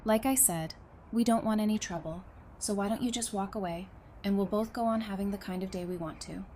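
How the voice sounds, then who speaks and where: A woman's voice: medium pitch, brisk, warm tone, slight background noise, natural voice. A woman playing a tender but determined wife in an apocalyptic audio drama, earnest and plainspoken. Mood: firm, defiant. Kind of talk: full-cast audio drama acting.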